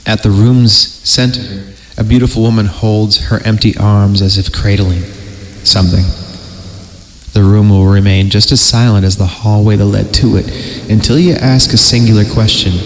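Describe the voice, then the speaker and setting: A man's voice: fairly low pitch, steady tone, moderate pace. A man hosting a horror story podcast, narrating theatrically and breaking off for joking asides when he stumbles. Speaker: podcast host reading horror stories.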